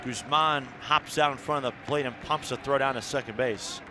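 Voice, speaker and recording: Male baseball play-by-play voice, mid pitch, clipped live radio calls, steady outdoor background noise. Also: normal speed. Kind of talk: live radio sports commentary. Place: outdoor ballpark broadcast.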